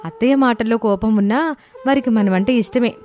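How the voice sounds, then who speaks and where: A woman playing a sensible, patient elder daughter-in-law in an animated story, reasoning and placating. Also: on the high side, quick pace, natural voice. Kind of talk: animated moral story dialogue. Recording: voice over prominent music bed.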